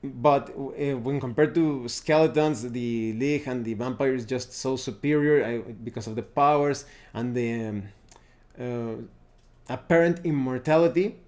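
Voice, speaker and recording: A man giving a casual, unscripted review of roleplaying games, pausing now and then to search for words. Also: medium pitch, a little mellow. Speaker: tabletop roleplaying game reviewer.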